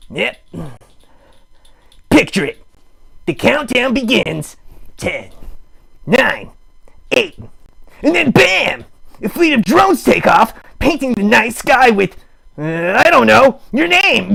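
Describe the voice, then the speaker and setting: High, gravelly melodramatic puppet. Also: male voice, fairly bright tone, exaggerated voice. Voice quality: gravelly, husky. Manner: theatrical, mock-grand, sarcastic. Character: melodramatic, needy puppet live streamer with big wry bravado. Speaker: host of a live puppet show stream. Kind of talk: improvised comic livestream monologue.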